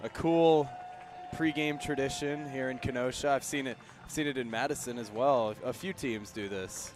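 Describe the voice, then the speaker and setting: American male baseball co-commentator, casual, mid-pitched. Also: normal speed, music in the background. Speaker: radio broadcast co-commentator. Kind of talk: casual baseball broadcast chat. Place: outdoors at a baseball field.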